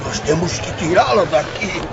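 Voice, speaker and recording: A woman playing a bossy, panicking tavern woman who shouts shrill orders in an audio drama. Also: expressive, moderate pace, creaky. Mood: alarmed, angry. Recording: muffled, very noisy crowd scene.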